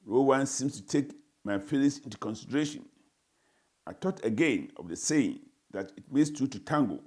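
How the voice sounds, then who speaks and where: A man's voice: medium pitch, a little mellow, close to an everyday voice. Indignant newborn for a dramatized story, wordy and pompous, Ghanaian accent, played by a man. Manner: formal, pompous, florid phrasing. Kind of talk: dramatized first-person reading.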